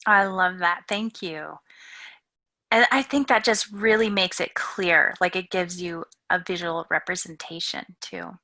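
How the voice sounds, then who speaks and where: A woman's voice: fairly low pitch, unhurried, bright, forceful tone. A woman, hosting an interview with introductions and questions. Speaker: interview host.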